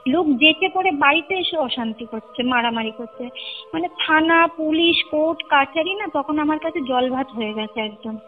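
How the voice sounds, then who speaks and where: A woman's voice: sits high, expressive, normal speed. A young woman with a Kolkata Bengali accent tells a personal story conversationally and emphatically, repeating points and checking that the listener follows. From Kolkata, India. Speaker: caller recounting a personal experience. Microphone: phone call. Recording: tinny phone line with music underneath. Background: clear music bed.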